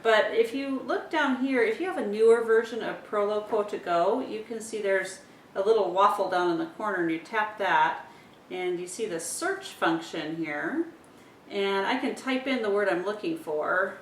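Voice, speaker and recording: A woman instructor talking parents through a communication-device demonstration, explaining each step aloud as she goes. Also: normal speed. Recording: roomy echo, distant mic, rumbly noise.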